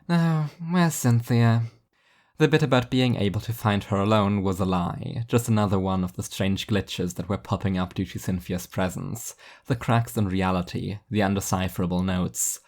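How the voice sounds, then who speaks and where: A man's voice: deep voice, fairly even intonation, moderate pace. A man reading scripted fiction as an audiobook narrator.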